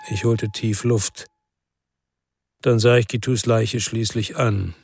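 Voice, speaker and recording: A man's voice: lowish voice, level tone, slow pace, over music. An actor playing a world-weary ex-policeman who narrates a radio crime drama in a brooding, reflective way.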